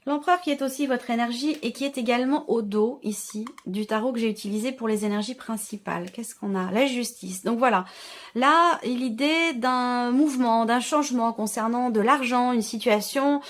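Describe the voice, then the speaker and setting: A woman's voice: on the high side, moderate pace. A woman giving an informal tarot reading to her viewers, reflecting and rephrasing as she interprets the cards. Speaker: tarot reader and channel host.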